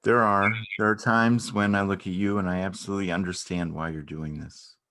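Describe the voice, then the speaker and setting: Man acting deep-voiced, anxious, caring partner. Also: flat delivery, a little fast, bright, forceful tone. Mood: earnest, hesitant. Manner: earnest, questioning, repetitive. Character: anxious, caring man pressing his ill partner for answers. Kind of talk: dramatic reading of stage dialogue.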